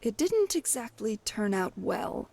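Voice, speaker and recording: A woman's voice: a little high, big pitch swings, measured pace, creaky, a little mellow, exaggerated voice. A woman playing a glib, evasive thief companion in a fantasy video game, talking in a wry, coy way. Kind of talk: scripted video game dialogue.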